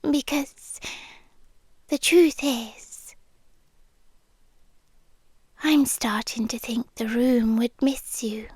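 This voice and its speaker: A British woman playing a possessive, tender demi-human yandere girlfriend in an ASMR roleplay, softly and breathily, often dropping to a whisper. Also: a little high, husky, no room sound, full character voice. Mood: soothing, possessive, then hushed, possessive. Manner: slow, deliberate, pausing between short phrases. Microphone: close, dry microphone.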